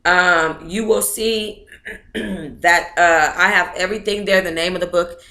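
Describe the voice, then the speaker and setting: A woman's voice: lowish voice, fairly even intonation, unhurried, pushed and bright. A woman hosting an online book club, reading aloud and commenting in a blunt, informal, opinionated way.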